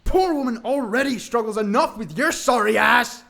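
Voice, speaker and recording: An angry teenage boy, played by a man, high-pitched and gravelly. He is bitter and exasperated, with animated swings in his delivery, as a character in an audio drama. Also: normal speed, full character voice. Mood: furious, self-loathing.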